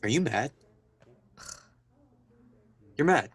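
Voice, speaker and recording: Young guy doing an exasperated boyfriend in a radio play, really animated, evasive, bantering, no room echo. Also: medium pitch, drawn-out words, husky, heavily acted. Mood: defensive, bantering. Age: young adult. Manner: defensive, bantering. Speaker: student voice actor. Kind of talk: contemporary radio drama dialogue.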